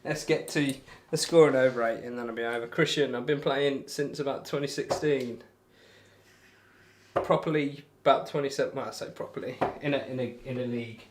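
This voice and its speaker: A man's voice: mid-range voice, steady tone, brisk, a little hiss or hum. A man hosting a casual darts livestream, chatting informally with viewers between throws. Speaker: livestream host playing darts.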